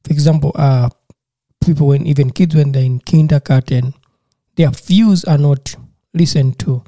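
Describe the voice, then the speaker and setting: A man's voice: on the high side, normal speed, warm tone, dry recording, clean studio sound. A man from Uganda, working in childcare, talking casually and earnestly in an interview, often repeating and restarting words.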